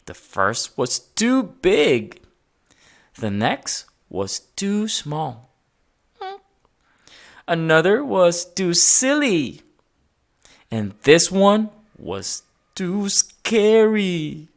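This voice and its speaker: Children's story narrator: woman, low register, unhurried pace, animated and dramatic read-aloud delivery. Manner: expressive, dramatic emphasis, sound-effect words. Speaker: storybook reader.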